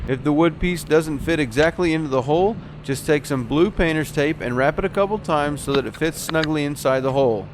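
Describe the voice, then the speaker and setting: Guy with a slightly high voice explaining a repair job step by step, some engine-like noise behind him. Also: brisk, few pauses. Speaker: host of a boat repair how-to show, marine technician. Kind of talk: explanatory how-to voice-over narration.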